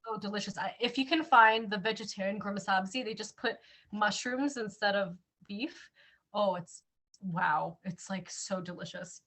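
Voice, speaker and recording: A woman's voice: medium pitch, moderate pace, fairly bright tone. A woman with a Canadian accent, chatting casually and informally as a co-host, with an enthusiastic manner. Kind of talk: casual chat.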